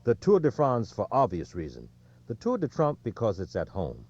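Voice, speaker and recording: A narrator as a rueful, mellow-voiced male athlete. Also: lowish voice, wildly swooping pitch, normal speed, gravelly, slightly rough, full character voice. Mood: earnest. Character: weary, self-deprecating male champion cyclist, plainspoken. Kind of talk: quoted speech in audiobook narration. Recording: dull, lo-fi audio.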